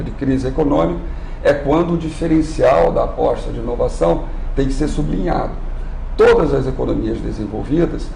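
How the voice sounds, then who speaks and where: A man, an institute executive on a live TV interview, speaking in a formal, measured way and often clearing his throat. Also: medium pitch, warm tone. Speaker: industry training institute executive. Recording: roomy with faint noise.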